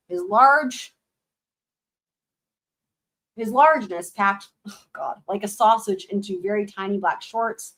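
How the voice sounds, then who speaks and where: Young woman stream host, live reading with blunt, sarcastic commentary, voice going hoarse. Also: mid-range voice, fairly bright tone. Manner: sarcastic, blunt asides with swearing. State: losing her voice, hoarse. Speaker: livestream host reading a book aloud.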